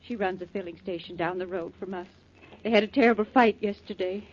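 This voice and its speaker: A woman's voice: medium pitch, steady tone, a little fast, lightly acted. An American actress playing an anxious, pleading mother in the manner of 1940s radio drama. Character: anxious, pleading mother of a missing adopted son. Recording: dull, faintly noisy 1940s radio recording.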